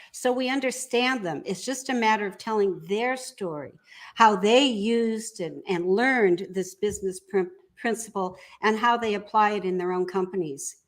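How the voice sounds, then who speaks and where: A woman speaking conversationally as an entrepreneur guest in an interview. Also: medium pitch.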